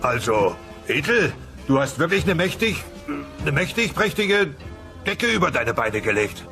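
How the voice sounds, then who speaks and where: Lively, brash dinosaur dad. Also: male voice, fairly high pitch, a little slow, over music. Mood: grudging. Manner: brash, jovial, slangy. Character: blustering, lazy TV-loving dinosaur father, mocking son-in-law. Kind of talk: comedic audio play acting.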